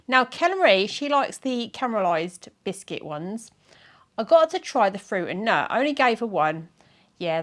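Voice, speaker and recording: Croaky woman vlogging. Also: mid-range voice. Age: middle-aged. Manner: chatty, giggly, self-mocking, rambling with fillers. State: croaky, sore throat. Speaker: weight-loss vlogger. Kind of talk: casual chat to camera.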